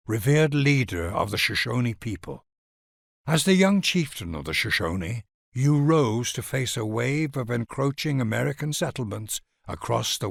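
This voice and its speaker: A man narrates a strategy game introduction with stately, formal grandeur. His voice has a gravelly creak and is recorded very dry, with no room around it. He speaks solemnly, as if honoring a great ruler. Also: on the low side, dry recording. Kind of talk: scripted dramatic narration in a video game.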